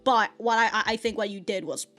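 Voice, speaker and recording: A woman's voice: mid-range voice, a little fast, sharp tone, faint music behind. A woman hosting an anime reaction, chatting casually, laughing often and stumbling over repeated words.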